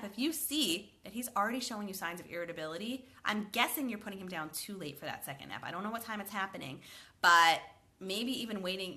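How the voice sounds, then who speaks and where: A woman's voice: medium pitch, bright, forceful tone. A woman in her thirties giving sleep advice in a live question and answer session, chatty and upbeat, slightly congested from a cold. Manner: chatty, upbeat, addresses viewers by name. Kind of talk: live Q&A, reading comments aloud.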